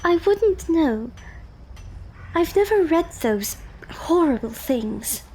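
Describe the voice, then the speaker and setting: An actress plays a genteel young Victorian lady in an audio drama. Her voice is high and unhurried, prim and careful, with an anxious temper. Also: big pitch swings, a little mellow, heavily acted, poor audio. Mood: prim, concerned. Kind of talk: period audio drama acting.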